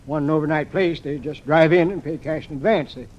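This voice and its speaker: A man's voice: fairly high pitch, fairly quick, a little mellow, muffled recording, natural voice. A man playing a folksy, rambling old American riverman in 1940s radio acting style. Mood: hesitant. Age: older. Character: folksy old riverman running a boat dock. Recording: noisy old radio drama recording.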